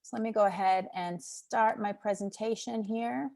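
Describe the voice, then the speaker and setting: A woman's voice: mid-range voice, fairly even intonation, moderate pace, vocal fry, a little mellow. Upbeat woman doctor giving a webinar talk. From Texas, United States. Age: 40s. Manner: upbeat, friendly, encouraging. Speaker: pediatrician, guest speaker. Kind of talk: webinar presentation.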